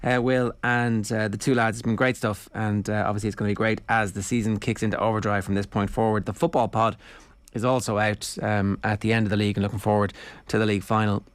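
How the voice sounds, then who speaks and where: A man's voice: lowish voice, level tone, clean tone, no room sound. An Irish man hosting a sports radio show, blunt and wry in casual studio banter. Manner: blunt, wry, wraps up segments briskly.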